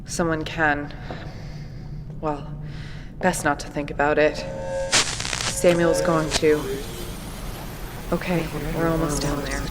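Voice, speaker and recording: A woman's voice: low-pitched, flat delivery, slow, long pauses. An actress playing an earnest, persistent young researcher recording her findings in a horror audio drama. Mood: uneasy. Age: young adult. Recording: noisy lo-fi tape field recording.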